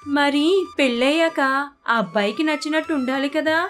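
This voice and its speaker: Fussy middle-aged cartoon mother played by a woman, scolding, high voice, over music. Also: moderate pace, a bit bright. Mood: disapproving. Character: fussy, doting middle-aged Indian mother, easily exasperated. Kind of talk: moral story cartoon voice acting. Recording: constant background music.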